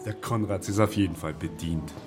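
A man plays a studio staffer in a radio drama. His voice is very low and his delivery is dry, offhand and sardonic, with music clearly audible behind him. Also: a little fast, close to an everyday voice. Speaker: actor.

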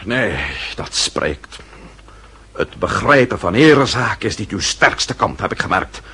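An actor playing a proud, sardonic French marquis with a formal, mocking delivery in a period radio drama. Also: male voice, fairly low pitch, sing-song, measured pace, bright, forceful tone, breathy edge, heavily acted. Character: proud French marquis, sardonic, coolly self-possessed nobleman. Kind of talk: radio drama acting in period costume piece.

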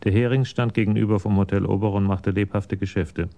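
A man's voice: lowish voice, fairly even intonation, fast, gravelly, warm tone. A man giving sober scripted narration as the narrator of a German radio crime story.

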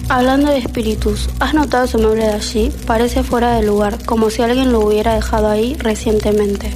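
A female amateur plays a pensive, curious young woman in a spooky school radio play. Her voice sits a little high, under loud music and sound effects. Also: fairly even intonation, a little fast, natural voice.

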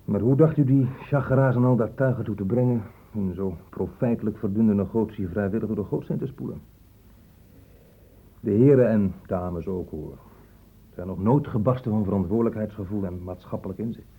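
An actor playing a cynical police inspector in a radio drama, blunt, sardonic and colloquial. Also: male voice, fairly low pitch, normal speed, slightly rough. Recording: muffled phone-like old radio recording.

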